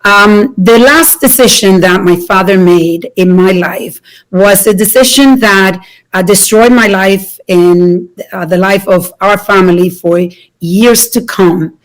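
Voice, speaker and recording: A woman in her fifties from the Dominican Republic, telling her life story to an audience in an earnest way, with many small pauses. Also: mid-range voice, poor audio. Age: 50s. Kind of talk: personal talk to an audience.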